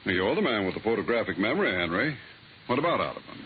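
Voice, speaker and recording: A man's voice: fairly low pitch, big pitch swings, fast. An actor playing a brusque but kindly male bureau chief with a dismissive, patronising manner in a 1950s radio drama. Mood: exasperated. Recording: muffled old radio broadcast, faint noise.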